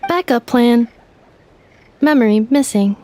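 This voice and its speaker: A woman voicing an amnesiac female robot in a video game, speaking in slow, clipped, flat phrases. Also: a little high, natural voice. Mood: mechanical.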